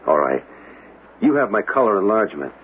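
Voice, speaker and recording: A man's voice: fairly low pitch, very animated, fairly quick. An actor playing a polite, inquisitive young man in a radio mystery, courteous and questioning. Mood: curious. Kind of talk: radio mystery drama acting. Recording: muffled old radio broadcast, faint noise.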